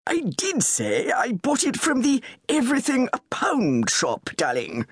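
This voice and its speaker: A woman voicing a fussy, gentle upper-class older man who speaks in a plummy, slightly tetchy way. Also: on the high side, big pitch swings, measured pace, breathy edge, dry recording, studio quality, pitched above the performer's own voice, exaggerated voice. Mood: genteel.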